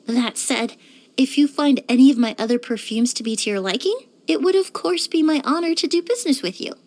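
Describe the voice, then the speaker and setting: A woman playing a courteous, composed young woman in a video game, speaking politely and earnestly with careful, measured wording. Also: high-pitched, animated, darkish tone. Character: poised, courteous young woman perfumer and forensic cleaner. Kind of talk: scripted video game character voice lines.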